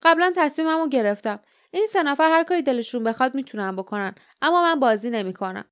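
A woman narrator playing a defiant woman traveller in an audiobook, brisk, firm and curt. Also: high-pitched, animated, clean tone, voice pushed up. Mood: curt refusal. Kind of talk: dramatised audiobook storytelling.

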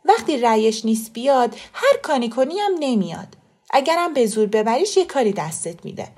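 A woman voicing a wary, gently disapproving mother in an audiobook story. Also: fairly high pitch, sing-song, husky, pitched above the performer's own voice. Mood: firm, wary.

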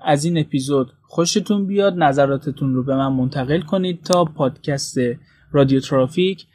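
A man introducing a podcast informally, hesitant and pausing between phrases. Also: a little high, a little mellow. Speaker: podcast host. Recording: music behind the voice.

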